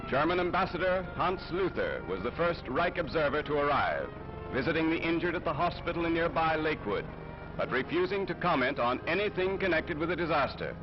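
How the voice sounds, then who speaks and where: A man's voice: fairly high pitch, very animated, a little fast. A man reading scripted 1930s newsreel narration in a formal, declamatory way. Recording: muffled vintage 1930s newsreel soundtrack. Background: music.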